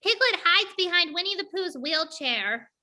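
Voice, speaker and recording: Casting: female stage-directions reader, piercingly bright and lively scripted narration. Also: very high-pitched, normal speed.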